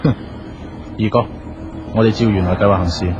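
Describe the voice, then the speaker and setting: A man's voice: on the low side, fairly even intonation, moderate pace, dull audio, heavy background noise, natural voice. A man with a Hong Kong Cantonese accent playing a blunt, resentful and scheming younger brother in a radio drama. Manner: blunt, complaining.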